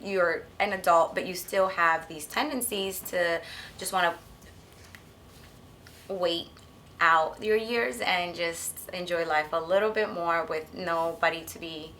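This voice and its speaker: A woman's voice: on the low side, measured pace, fairly bright tone, a little hiss or hum. A 27-year-old woman from Maryland speaking in an easygoing, thoughtful way in a documentary interview.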